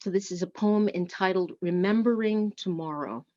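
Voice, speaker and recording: Low-voiced woman leading worship. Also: normal speed, warm tone. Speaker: worship service leader. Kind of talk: church service address and poetry reading. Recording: dull, lo-fi online audio.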